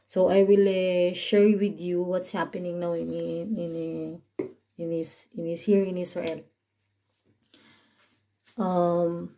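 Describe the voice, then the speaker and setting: A woman from the Philippines, a Tagalog speaker with a Filipino accent, giving a casual vlog update as a caregiver. She explains slowly in simple English, pausing often with fillers and restarts. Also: on the low side, dark tone. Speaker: caregiver and vlogger. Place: home in northern Israel.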